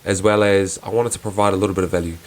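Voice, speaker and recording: A young man hosting his own podcast, talking in a soft voice with earnest, reflective musings and frequent restarts. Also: low-pitched, fairly even intonation, racing. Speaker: podcast host. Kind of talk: casual reflective solo podcast.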